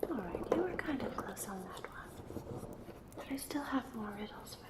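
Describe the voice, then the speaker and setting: A woman's voice: on the high side, expressive, moderate pace, echoey room, lightly acted. A woman voicing a playful, teasing ghost who poses riddles in an ASMR roleplay, repeating words over and over in a coaxing way. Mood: mysterious, coaxing. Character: playful, teasing female ghost guarding a secret passage with riddles.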